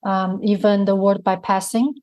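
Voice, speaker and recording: A woman with a Mandarin accent, a native Mandarin speaker, talking slowly and thoughtfully as a guest in an interview. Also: medium pitch, little pitch movement, a little mellow. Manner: slow, thoughtful, searching for words. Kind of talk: reflective interview conversation.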